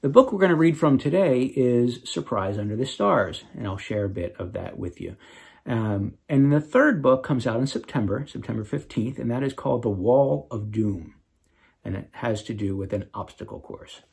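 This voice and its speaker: Animated man reading a children's story, slightly husky. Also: mid-range voice, moderate pace. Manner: expressive, dramatic emphasis. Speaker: children's author reading aloud. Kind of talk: animated storybook read-aloud with casual asides.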